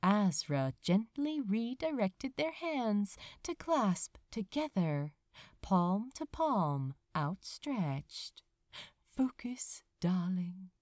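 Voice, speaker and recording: A woman reading a story aloud as narrator, in an expressive scripted storytelling style. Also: mid-range voice, extremely slow, dry recording, clean studio sound. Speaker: audiobook-style narrator of fan fiction.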